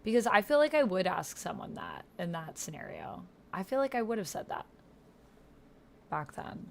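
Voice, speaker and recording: A woman hosting an online roleplay, chatting casually and thinking out loud, sometimes reading typed chat aloud. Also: mid-range voice, huge pitch swings, moderate pace. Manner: musing aloud to herself. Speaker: host of an online text roleplay playthrough. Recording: keyboard typing, clear background noise. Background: computer keyboard typing.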